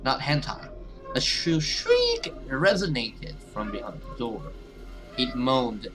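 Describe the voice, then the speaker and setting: A man plays through a horror visual novel as the host, with loud music behind him. He reads the story text expressively and dramatically, slipping into casual asides as he reacts. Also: mid-range voice, huge pitch swings, slow pace. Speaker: gaming video host. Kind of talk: video game let's play, reading story text aloud with reactions.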